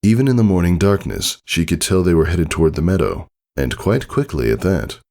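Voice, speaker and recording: Deep, gravelly male story narrator. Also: expressive, brisk, darkish tone. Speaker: fan fiction reader, narrator.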